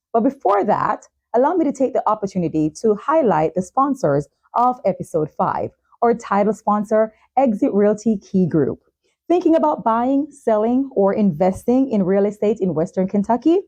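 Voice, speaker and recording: A woman speaks as the host of a talk show. Her voice sits at a medium pitch with a warm, mellow tone. She presents segments and poses questions in interview style. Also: expressive, normal speed.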